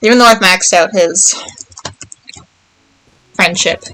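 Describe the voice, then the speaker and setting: A woman's voice: mid-range voice, slow pace, a bit bright, poor audio. A young woman giving casual game playthrough commentary, tossing offhand, playful asides to the characters. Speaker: game streamer.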